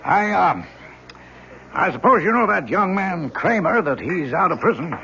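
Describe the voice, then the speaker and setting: An American actor playing a genial, tactful book publisher in an old radio drama, conversational and slightly halting. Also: male voice, high voice, sing-song, vocal fry, sharp tone, heavily acted. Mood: tactful unease. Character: genial, tactful male book publisher, hesitant with awkward news. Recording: muffled, faintly hissy old radio recording.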